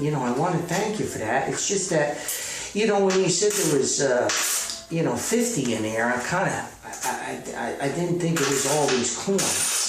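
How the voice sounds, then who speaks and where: A man's voice: mid-range voice, recorded from a distance. A man giving a rambling, casual comic monologue in a folksy, hesitant, mock self-important way. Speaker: author hosting his own comedic podcast. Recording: echoey room, off mic, music.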